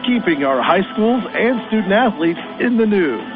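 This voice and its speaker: Peppy high-voiced man in a radio spot. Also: expressive, moderate pace. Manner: peppy announcer pitch. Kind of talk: upbeat radio ad. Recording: tinny radio ad over music.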